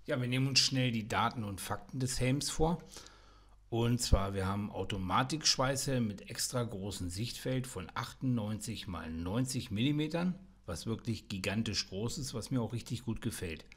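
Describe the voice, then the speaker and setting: A man's voice: medium pitch, moderate pace, fairly bright tone. Man casually reviewing welding gear. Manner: casual, practical explaining with fillers. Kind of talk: product review voice-over.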